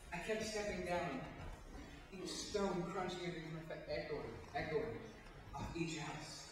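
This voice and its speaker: A man's voice: high voice, close to an everyday voice. A man playing a tormented murderer who broods and repeats himself in a dramatic amateur stage monologue. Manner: brooding, agitated, repetitive. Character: haunted, tormented male murderer confessing his night walk. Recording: echoey hall, far off, noisy.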